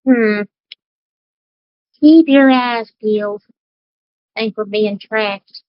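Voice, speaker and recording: A woman's voice: a little high, very slow, no echo, highs cut off. A woman playing a wary, plain-spoken outsider adventurer in a tabletop game, folksy, blunt and skeptical. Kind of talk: acting a character in a tabletop game.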